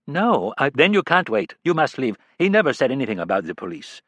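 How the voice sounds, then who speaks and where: Snippy young french-canadian backstage guy, narrator putting it on, raised pitch, loud. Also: sing-song, brisk, no room sound. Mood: dismissive. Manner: brusque, dismissive. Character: curt young French-Canadian male stagehand.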